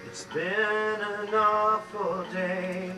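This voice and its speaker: A woman playing the singing narrator of a wintry animated tale. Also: mid-range voice, extremely slow, recorded from a distance, music in the background, poor audio. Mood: wry, lamenting. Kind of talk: sung cartoon narration.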